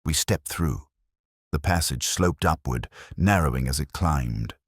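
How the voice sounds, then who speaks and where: Very deep-voiced male suspense narrator. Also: animated, slightly rough, dry recording, clean studio sound. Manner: measured, solemn, suspenseful delivery. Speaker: audiobook narrator of a detective story. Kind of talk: scripted dramatic story narration.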